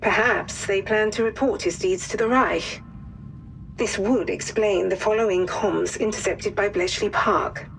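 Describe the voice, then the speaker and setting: An actress playing a grave, composed wartime intelligence officer, narrating a mission briefing in a formal, measured way. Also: female voice, mid-range voice, vocal fry, fairly bright tone, a little hiss or hum, low-quality recording.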